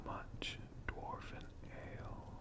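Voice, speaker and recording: An ASMR storyteller whispering a tale in a hushed, lingering manner, pausing and repeating words. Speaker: ASMR storyteller, channel host.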